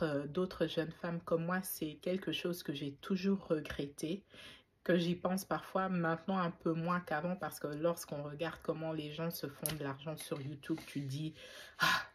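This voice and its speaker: A woman's voice: deep voice, fairly even intonation, measured pace, breathy edge. A woman in her forties from Belgium, talking reflectively to camera, with frequent fillers and restarts. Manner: reflective, faith-inflected, many fillers and restarts. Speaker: YouTuber. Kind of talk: casual talk to camera.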